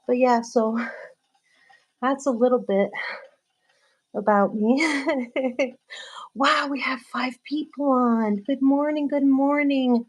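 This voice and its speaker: Slow-talking woman chatting on a live stream. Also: high voice. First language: Spanish. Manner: chatty, laughs often, many fillers; greets viewers warmly by name. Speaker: vlogger live-streaming a workout. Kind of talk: casual live stream chat while exercising.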